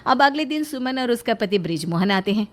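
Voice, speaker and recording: A woman's voice: on the high side, animated, brisk, a little hiss or hum. A woman narrating a scripted moral story as its storyteller.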